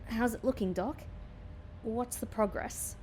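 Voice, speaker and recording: A woman's voice: a little high, a little slow, darkish tone, slight background noise, lightly acted. A woman playing a wry, stubborn agent recovering from injury in an audio drama, with a dry, defiant manner.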